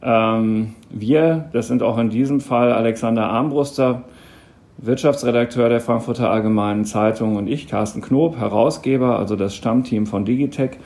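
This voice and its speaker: A man hosting a podcast interview, speaking in a relaxed way with frequent hesitations. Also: medium pitch, normal speed. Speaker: podcast host.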